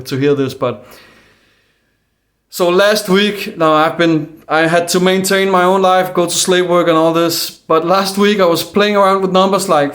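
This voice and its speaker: A man with a Danish accent and Danish as his first language, talking earnestly into the camera in a casual monologue, full of fillers and restarts. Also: fairly high pitch. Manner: hesitant, many fillers and restarts; calm, earnest. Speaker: independent video maker.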